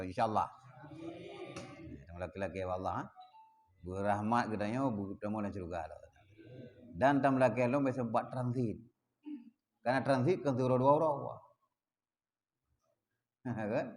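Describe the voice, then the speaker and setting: A man with an Acehnese accent teaching a religious study group, slowing down to chant Arabic passages and asking listeners rhetorical questions. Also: medium pitch, long reverb tail. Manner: mixes plain explanation with slow chanted Arabic readings; asks the audience rhetorical questions. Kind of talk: kitab study lesson. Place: prayer hall.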